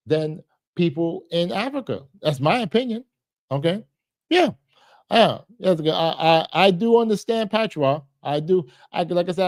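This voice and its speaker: A man's voice: on the high side, a little mellow, dry recording. A middle-aged man hosting a casual livestream, talking earnestly to his viewers with lots of restarts and repeated thank-yous. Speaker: livestream host.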